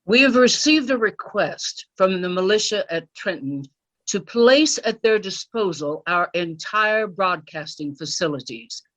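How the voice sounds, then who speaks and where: A network executive reading an official statement in a radio drama. Her voice is mid-pitched, and she speaks in a stiff, solemn, formal manner. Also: expressive, a little slow, a bit bright.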